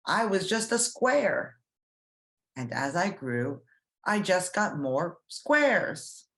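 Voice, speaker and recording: Woman playing storybook snake, friendly, low-pitched, slow, simple explanations for children. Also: exaggerated voice. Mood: friendly, proud. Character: friendly cartoon snake, former video game star. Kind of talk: children's read-aloud storybook.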